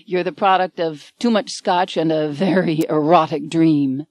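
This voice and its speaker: A woman's voice: low-pitched, steady tone, a little slow, darkish tone, no room sound. A hungover male writer, flustered and exasperated, grumbling his way through a baffling morning, as a woman narrator puts him on. The sound is dry and close.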